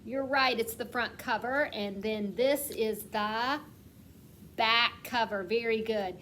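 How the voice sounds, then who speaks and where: High voiced lady doing a kindergarten read-aloud, asks the kids questions, noticeable background noise. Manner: asks questions and pauses as if children answer; expressive storytelling with slowed, emphasised words. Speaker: kindergarten teacher reading aloud. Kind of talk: picture-book read-aloud for young children.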